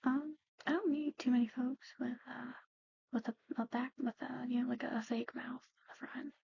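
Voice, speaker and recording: A woman doing an ASMR roleplay, speaking softly and in whispers, with a hesitant, halting, gently conversational manner. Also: sits high, little pitch movement, gravelly, husky, lo-fi sound.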